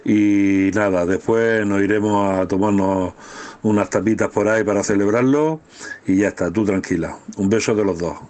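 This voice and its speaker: An affectionate father acted by a man leaving a casual message. His voice is low and his manner reassuring. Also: steady tone, normal speed, darkish tone, a little hiss or hum, lightly acted.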